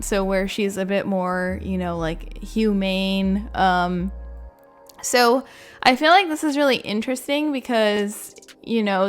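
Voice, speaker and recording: A woman's voice: medium pitch, a bit bright. A woman giving chatty, informal commentary as a video creator, saying "like" often. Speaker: video game content creator. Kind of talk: casual commentary ranking game cutscenes.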